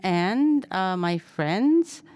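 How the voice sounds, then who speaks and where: A woman interpreting and moderating at a press conference, measured and careful. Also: mid-range voice, lively intonation, a little mellow.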